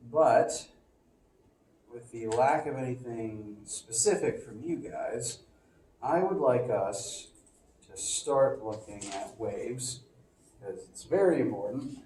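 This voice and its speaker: A man's voice: mid-range voice, slow pace, slight background noise. A male physics professor lecturing casually to a class, sniffly from allergies, with many fillers and restarts, recorded on a phone across the room. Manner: relaxed, chatty, with frequent fillers and restarts. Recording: echoey classroom, distant phone mic.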